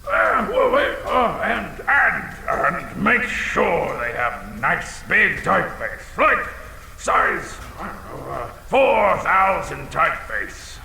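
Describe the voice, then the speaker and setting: A man playing a blustering, insecure, half-blind dragon in a comedic audio drama, swinging between theatrical threats and fussy muttering in a gravelly voice. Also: a little high, sing-song, takes it slowly, sharp tone, exaggerated voice. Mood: aggrieved. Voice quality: gravelly, creaky. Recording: cavernous long reverb with faint noise.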